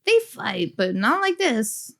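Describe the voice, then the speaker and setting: A woman's voice: on the high side, sing-song, takes it slowly, gravelly, fairly bright tone, exaggerated voice. A woman voicing an awkward, bashful teenage girl in an amateur webcomic dub, sounding self-conscious. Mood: concerned. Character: awkward, bashful teenage girl artist, DeviantArt website personified.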